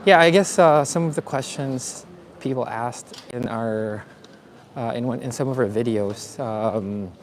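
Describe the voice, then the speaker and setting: A man from the Philippines hosting a live walking tour outdoors, talking in a relaxed, chatty way with many fillers. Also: mid-range voice, unhurried. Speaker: vlogger. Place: city streets outdoors. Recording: faint street noise outdoors. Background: street traffic and ambience.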